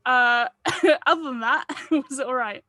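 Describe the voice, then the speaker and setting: A woman's voice: sits high, a little slow, bright, forceful tone, no room sound. A woman giving casual, encouraging feedback as she runs a table read. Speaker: director running the table read.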